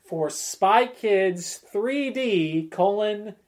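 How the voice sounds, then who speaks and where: A man in a relaxed podcast conversation, presenting to the others. His delivery is halting, often circling back and restarting his thought partway through. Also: high voice. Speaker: podcast panelist presenting film trailers. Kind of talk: casual chat.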